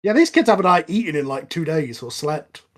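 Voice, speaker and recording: A man's voice: fairly high pitch, animated, fairly quick. A man chatting casually in a film commentary, with a wry, nitpicking way of talking. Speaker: commentary track participant.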